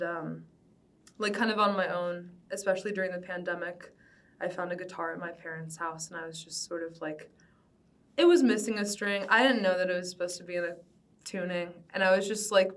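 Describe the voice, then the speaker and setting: A woman's voice: on the low side, distant mic. A young American woman speaking casually in an interview, loose and informal, with "like" sprinkled through her sentences. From Cincinnati, United States. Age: young adult. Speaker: band vocalist being interviewed. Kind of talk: casual conversational oral history.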